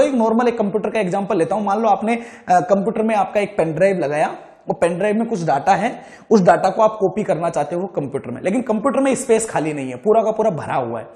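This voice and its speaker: A man giving an informal lecture as an engineering teacher, colloquial and often checking understanding with short questions. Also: very high voice. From India. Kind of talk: informal classroom-style lecture with everyday examples. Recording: echoey room.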